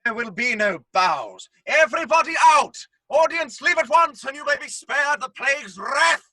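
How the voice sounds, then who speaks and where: Haughty, scornful nobleman for audio drama: very high, piercing, swooping delivery. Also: animated, normal speed, bright, forceful tone, no echo, exaggerated voice. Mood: furious. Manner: imperious, theatrical, scornful. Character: outraged, haughty nobleman in a plague mask. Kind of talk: stage-style audio drama acting. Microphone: video call recording.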